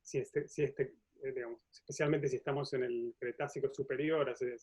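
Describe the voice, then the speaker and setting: A man's voice: medium pitch, steady tone, normal speed, darkish tone, breathy edge. A man with a Uruguayan accent giving an informal science lecture as a professor, explaining things conversationally. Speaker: museum curator and professor giving a talk. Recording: dull, lo-fi audio.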